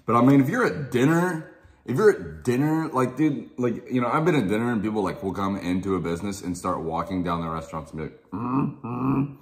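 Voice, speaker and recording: A man's voice: expressive, fairly quick. A young man streaming from his living room, chatting casually and teasing his co-host. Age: young adult. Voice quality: deep voice. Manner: teasing, playful, says "dude" often. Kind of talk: casual chat.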